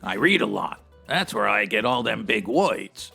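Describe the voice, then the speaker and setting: Raspy, excitable hipster club manager. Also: male voice, lowish voice, measured pace, soft background music, heavily acted. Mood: chatty, pleased. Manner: hipster slang with florid big words, theatrical. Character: slangy, wordy, self-taught strip club manager, breezy hustler. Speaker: actor in a comedy audio drama. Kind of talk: comedic old-time radio detective acting.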